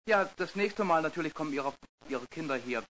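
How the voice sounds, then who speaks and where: A man's voice: on the high side, animated, fairly quick, fairly bright tone. A man playing a fussy, apologetic TV host. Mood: courteous. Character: male TV show host, overly polite. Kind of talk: scripted language-course dialogue. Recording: dull hissy old tape.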